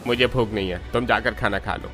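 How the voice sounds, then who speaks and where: A man voicing a curt, uninterested young husband in an animated family drama, answering dismissively. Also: on the low side, a little fast, soft background music. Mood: curt, irritated.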